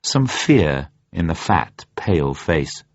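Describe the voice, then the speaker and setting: A man reading a story as a narrator in a measured, suspenseful, dramatic way. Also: rumbling low, sing-song. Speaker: audiobook narrator. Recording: dull, muffled, roomy radio recording.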